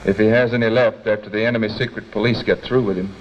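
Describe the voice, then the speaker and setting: An actor playing a gruff, irritated spy instructor in 1940s training film style. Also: male voice, lowish voice, fairly even intonation, quick pace, close to an everyday voice. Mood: exasperated. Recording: muffled vintage film, faint noise.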